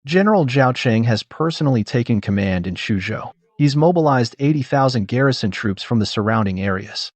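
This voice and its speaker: A man's voice: mid-range voice, animated, brisk, few pauses, no echo, close to an everyday voice. A man playing a plain, earnest soldier in a dubbed comic drama. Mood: reporting. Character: plain, earnest male soldier reporting and pleading.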